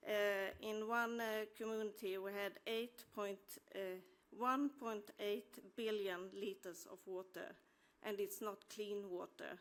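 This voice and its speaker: A woman's voice: medium pitch, steady tone, measured pace. A woman dairy farmer from the west coast of Sweden, speaking English with a Swedish accent and hesitating often as she searches for words while asking a panel a question.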